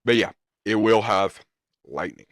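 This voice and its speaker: A man hosting a live weather stream, answering viewer questions casually and hesitantly, his voice going hoarse. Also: on the low side, a little slow, noisy background. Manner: hesitant, with many ums and restarts. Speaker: livestream weather host.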